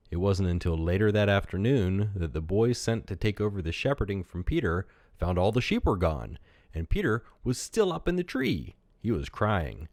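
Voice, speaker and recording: A man's voice: low voice, a little mellow, dry recording, clean studio sound. A man narrating a read-aloud children's story as a storytelling podcast host.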